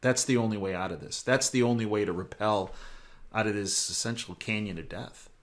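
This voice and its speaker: An American man hosting a current-affairs interview in a conversational style, with measured, emphatic phrasing. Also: lowish voice. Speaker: interview host.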